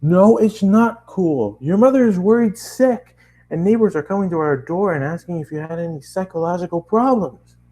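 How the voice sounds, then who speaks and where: A man voicing an angry, stern older father, exasperated and loud, in a story reading. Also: high-pitched, normal speed, pitched above the performer's own voice.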